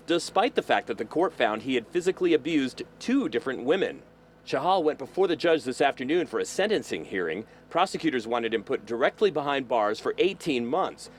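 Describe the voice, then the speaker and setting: A man's voice: mid-range voice, slight background noise. Man reporting outside a courthouse. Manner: measured reporting delivery. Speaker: field reporter. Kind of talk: news report. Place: outdoors by a courthouse.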